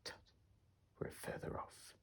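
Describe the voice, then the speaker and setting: A man playing a quiet, menacing, scheming villain in a hushed Shakespearean soliloquy. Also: measured pace, close to an everyday voice. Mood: cold menace.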